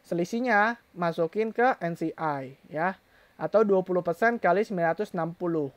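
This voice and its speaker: A woman's voice: fairly low pitch, moderate pace, no room sound. A woman with an Indonesian accent giving an informal step-by-step accounting tutorial, addressing learners directly and often asking questions that she then answers herself. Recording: dull, lo-fi close dry recording.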